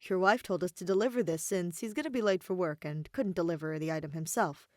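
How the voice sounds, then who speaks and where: A woman's voice: lowish voice, quick pace, no echo, studio quality, close to an everyday voice. A woman voicing a cheerful, eager young male adventurer in a story reading.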